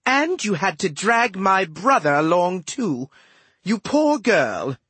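A man's voice: high-pitched, very animated, slow pace, bright, forceful tone, husky, no echo, pitched above the performer's own voice, exaggerated voice. A man voicing a feisty, sarcastic young sister who hides her hurt, in an audiobook with wry, snappy lines. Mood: marveling, wry.

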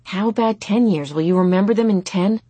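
A woman's voice: mid-range voice, brisk, mellow, husky, dry recording, natural voice. A woman voicing a sulky, defiant thirteen-year-old girl in a storybook, curt and indignant. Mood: scornful.

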